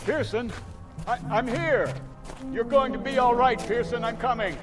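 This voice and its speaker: A man's voice: extremely high pitch, normal speed. An actor playing a stern captain in a vintage TV drama, commanding and sarcastic, fiercely protective of his crew. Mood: urgent calling. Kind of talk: mid-century television drama acting. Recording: music under dialogue.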